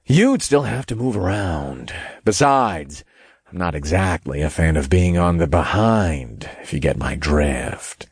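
A man's voice: deep voice, lively intonation, a little slow, slightly rough, no echo, studio quality, full character voice. A man voicing a suave, scoffing male demon in an audiobook, with a sly, teasing manner. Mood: scornful.

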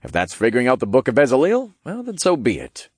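A man's voice: mid-range voice, wildly swooping pitch, fast talker, a little mellow, husky, no echo, voice pushed up. A man voicing an easygoing, loyal young king in an audiobook, speaking casually and frankly. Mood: earnest.

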